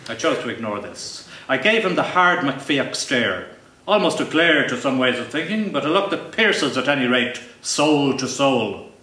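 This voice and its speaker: A man giving a live comic reading from his novel in a pompous, deadpan, mock-grandiose manner. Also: medium pitch, moderate pace. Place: live event venue. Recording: roomy, distant live recording with faint noise.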